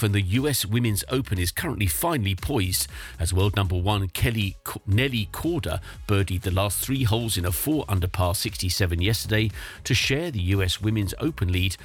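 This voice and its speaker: Guy reading the radio news, low husky voice, steady and even. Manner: measured, even newsreading delivery. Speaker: radio newsreader. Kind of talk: scripted news bulletin.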